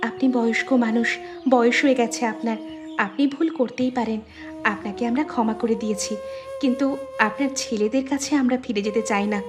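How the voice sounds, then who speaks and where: A woman playing a wronged young daughter-in-law in a cartoon, measured and firm but forgiving. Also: a little high, fairly even intonation, over music, natural voice. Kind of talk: Bengali cartoon voice acting.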